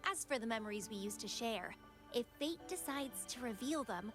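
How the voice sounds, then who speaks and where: A woman's voice: a little high, animated, moderate pace, over music, natural voice. An actress voicing a playful, dangerously devoted young woman rival in a video game, with a teasing, languid, dramatic delivery. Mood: breezy, confident. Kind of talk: scripted video game voice acting.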